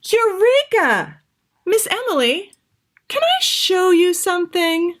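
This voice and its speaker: A woman voicing a spirited, stubborn, inventive young girl with an expressive, dramatic manner in a children's picture book. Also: very high voice, slow pace, slight background noise, voice pushed up, full character voice. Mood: excited.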